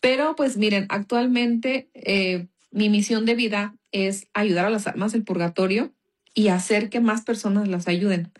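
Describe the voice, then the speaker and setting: A woman's voice: medium pitch, fairly even intonation, unhurried. Mexican woman telling a faith testimony. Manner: casual storytelling, many fillers. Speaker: guest giving a testimony.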